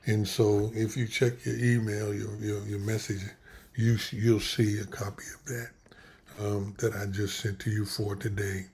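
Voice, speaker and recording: A pastor hosts a casual livestream from his computer setup. His voice sits low and sounds gravelly, and he is losing his voice. He wanders and restarts his sentences as he talks. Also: flat delivery, darkish tone. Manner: rambling, halting, many restarts. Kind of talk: casual livestream talk with prayer.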